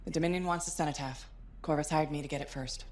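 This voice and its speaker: A quick-talking female freelancer from a video game, played by an actress. She is capable and determined, with a slightly low voice and a brisk, confident delivery. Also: fairly even intonation, lightly acted. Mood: matter-of-fact. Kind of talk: video game cinematic acting.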